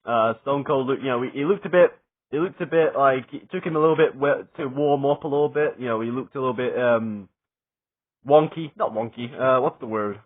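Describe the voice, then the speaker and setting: A young adult man giving a rambling, excited spoken review, swearing often and stumbling over fillers and restarts. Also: medium pitch, brisk. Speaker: wrestling reviewer. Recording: tinny phone-like sound.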